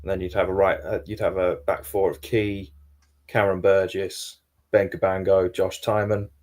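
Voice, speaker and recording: Geordie male guest, measured and thoughtful, lower voice. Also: level tone, a little mellow. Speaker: guest pundit. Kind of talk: casual football podcast chat.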